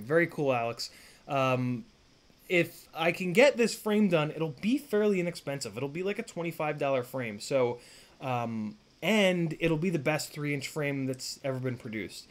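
A man's voice: fairly high pitch, a bit bright. A man in his thirties streaming live from a home workshop, chatting casually with viewers, rambling and swearing with lots of fillers. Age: 30s. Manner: rambling, profane, full of fillers and restarts. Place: home workshop room, indoors.

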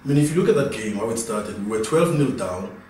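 A man's voice: on the low side, lively intonation, fast. A man on a sports panel, arguing his point emphatically. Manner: emphatic, forceful opinions. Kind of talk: casual studio sports chat. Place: small studio room, indoors. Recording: echoey room from a distance, faint noise.

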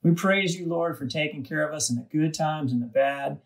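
A man's voice: on the high side, moderate pace. A man reading a scripted devotional for children, recorded at some distance from the microphone. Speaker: kids' devotional reader.

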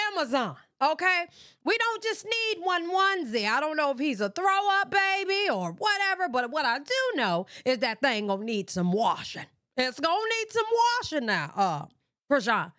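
Lively, bright-voiced woman streamer. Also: high-pitched, no echo, studio quality. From Michigan. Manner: animated, sassy, talks straight to viewers. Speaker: livestream reaction host. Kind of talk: casual livestream reaction commentary. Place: bedroom streaming setup with pink lights. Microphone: desk mic in front of her.

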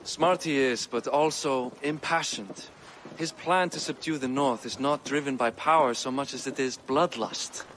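An actor playing an earnest, diplomatic middle-aged warrior king with a grave, deliberate delivery in a video game. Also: medium pitch, fairly bright tone, slight background noise, close to an everyday voice, poor audio. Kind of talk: video game cutscene acting.

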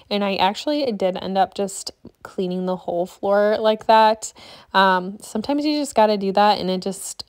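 A woman's voice: mid-range voice, darkish tone, clear, resonant tone. A woman in her thirties chatting through a home cleaning vlog as a voice-over, loose and friendly, with frequent ums and restarts. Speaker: cleaning vlogger. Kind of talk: casual voice-over narration.

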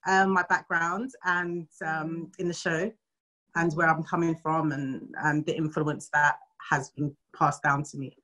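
London woman, english accent, lowish voice, chatty and personal on a video call. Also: level tone, a little slow, fairly bright tone, poor audio.

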